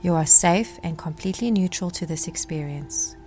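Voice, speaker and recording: A woman leads a guided meditation in a fairly low voice. Her phrasing is gentle and deliberate, with measured pauses between instructions. Faint music and rain sounds sit behind her.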